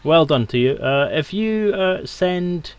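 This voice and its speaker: A man's voice: fairly high pitch, animated, slow pace, clean tone, no echo. A man hosting a live stream and running a giveaway in casual, tongue-in-cheek, occasionally profane chat.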